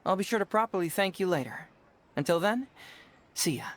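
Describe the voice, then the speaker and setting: A man voicing a clever young detective in a video game, smooth and playful with some quiet self-doubt, phrasing his words with care. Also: sits high, animated, brisk. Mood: calm, breezy.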